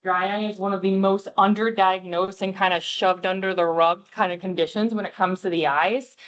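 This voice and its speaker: A woman eye doctor giving a passionate health presentation to an audience, with a big voice, speaking away from the microphone. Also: mid-range voice. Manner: warm, passionate, clear explaining. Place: room with a live audience, talking away from the mic. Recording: dull lo-fi room recording.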